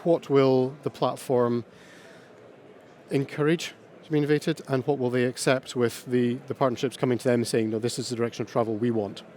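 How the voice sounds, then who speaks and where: A man speaking at an awards panel, halting and full of ums and pauses. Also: medium pitch, fairly even intonation, darkish tone. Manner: halting, many ums and uhs, slow pauses. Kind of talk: hesitant conference talk.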